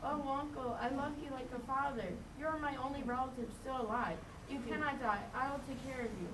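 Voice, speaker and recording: Woman as eager peasant man, high and echoey. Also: steady tone, a little fast, natural voice. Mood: worried, tender. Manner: earnest, playful. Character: eager, devout, childlike peasant man Juan Diego. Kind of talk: children's puppet show acting. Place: live performance in a room, far mic. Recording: distant, echoey room with loud noise.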